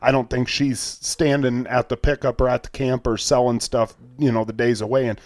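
A man's voice: mid-range voice, flat delivery, moderate pace, a little mellow, no room sound. A man with an American Midwestern accent chatting casually and informally as co-host of a fishing podcast. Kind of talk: casual chat.

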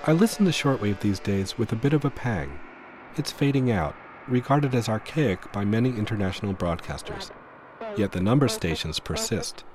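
Low, expressive man reflecting wistfully. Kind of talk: reflective personal narration.

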